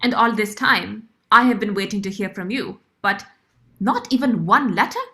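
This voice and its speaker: A woman playing a devoted, dignified older nursemaid in a radio drama, formal and measured. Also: mid-range voice, sharp tone. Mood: reproachful.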